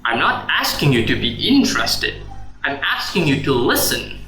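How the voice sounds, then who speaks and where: A man's voice: medium pitch, very animated, moderate pace, sharp tone. A man playing a defensive, sardonic sales executive in a radio drama. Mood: pleading. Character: defensive, wry male sales executive under accusation. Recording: echoey room with music. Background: music.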